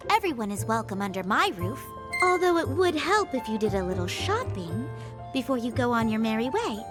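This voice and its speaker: A woman's voice: a little high, sing-song, normal speed. A woman voicing a plucky, bossy but kind-hearted little innkeeper in a fantasy video game. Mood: welcoming. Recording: faint game music behind.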